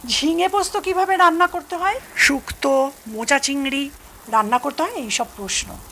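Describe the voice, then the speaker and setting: Actress as chatty middle-aged mother-in-law, high pitch, bright tone, anecdotal storyteller, radio play, faint background sizzle. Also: a little hiss or hum, heavily acted, poor audio. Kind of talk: Bengali audio drama acting.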